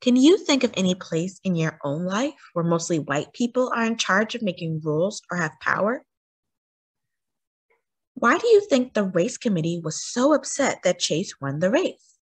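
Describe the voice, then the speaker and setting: A woman reading aloud to children as a storyteller, with an animated, expressive delivery that acts out the story. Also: mid-range voice, moderate pace, husky. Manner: animated, acting out sighs and cheers.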